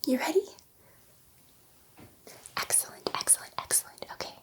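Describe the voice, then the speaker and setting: A woman playing a gentle, curious alien scientist in an ASMR roleplay, speaking in a soft, breathy, reassuring whisper and repeating her phrases. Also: normal speed, natural voice. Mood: soothing, explaining.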